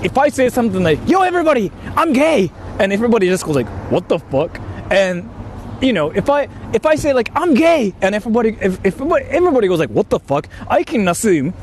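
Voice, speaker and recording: Man, high voice, very lively intonation, incredulous, sweary casual livestream rant, noisy urban background. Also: quick pace. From Japan. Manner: incredulous, exclamatory, frequent swearing. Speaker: livestreamer and YouTuber. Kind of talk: casual livestream rant, reading and reacting to criticism.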